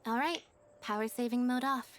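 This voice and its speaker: An actress voicing a deadpan young female magician's assistant who talks in a flat, dry way. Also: a little high, normal speed, a little hiss or hum, lightly acted.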